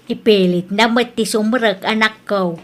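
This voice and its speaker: A woman's voice: mid-range voice, fairly even intonation, measured pace, natural voice. A woman with a Filipino Ilocano accent playing a blunt, protective older mother and grandmother in a drama. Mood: grumbling. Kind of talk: Ilocano radio-style drama acting.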